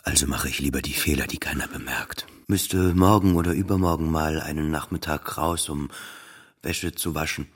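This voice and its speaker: A man's voice: very deep voice, normal speed, slightly rough. An actor playing a self-doubting, depressed man in an intimate, brooding first-person radio play monologue. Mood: anxious, brooding. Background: music.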